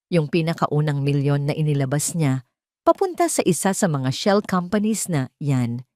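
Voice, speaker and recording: A woman's voice: deep voice, darkish tone, no echo, studio quality. A woman as a coldly determined betrayed Filipino wife. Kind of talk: audio drama storytelling.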